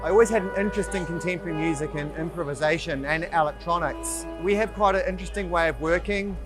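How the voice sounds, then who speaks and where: A man's voice: fairly high pitch, quick pace, over music. A man, a trumpet performer, talking about his creative process in an interview. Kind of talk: interview reflection.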